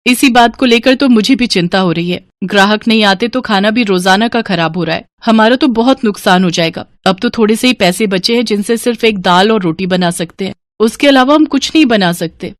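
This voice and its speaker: A woman's voice: medium pitch, fairly quick, few pauses, no echo, clean studio sound, natural voice. A woman playing the worried, kindly wife of a poor eatery owner in a moral story. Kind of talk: dramatised moral story acting.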